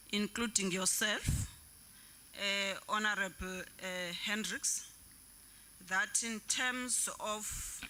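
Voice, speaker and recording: A man presiding over a legislature, measured and halting as he cautions members from a desk microphone in the chamber. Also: sits high, bright, forceful tone, slight background noise. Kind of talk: formal parliamentary chairing.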